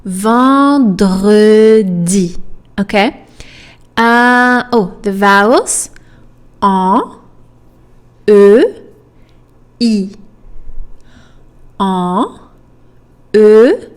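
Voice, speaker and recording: Slow-spoken French woman teaching pronunciation. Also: mid-range voice. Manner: slow, deliberate, drills sounds one by one and checks in with "okay?". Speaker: French tutor. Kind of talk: pronunciation lesson.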